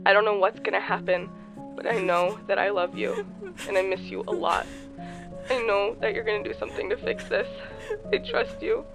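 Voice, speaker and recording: A woman's voice: medium pitch, moderate pace, vocal fry, lightly acted. A woman playing a tender, anxious mother leaving a hesitant farewell message in a radio drama. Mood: fearful, loving. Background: plucked guitar music.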